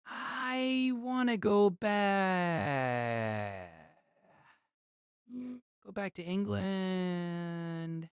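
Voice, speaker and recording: A woman's voice: lowish voice, very animated, very slow, pauses often, clean tone, no echo, studio quality, full character voice. A woman playing a timid, whiny young male mage in an audio drama, plaintive and hesitant. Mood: tearful, miserable.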